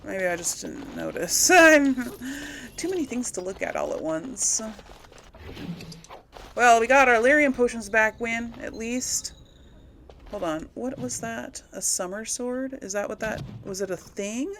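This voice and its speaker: A woman's voice: a little high, big pitch swings, a little slow, a bit bright, slight background noise. A woman giving casual commentary on a game she is playing, thinking aloud and often questioning herself. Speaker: gamer hosting a role-play playthrough.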